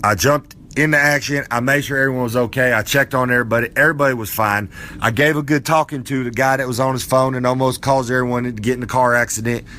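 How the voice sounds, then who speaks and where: A man's voice: mid-range voice, sharp tone, lightly acted. Guy putting on a rambling old wrestler, folksy and preachy, bit of room hiss. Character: boastful old male wrestler character, folksy. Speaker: podcast character segment.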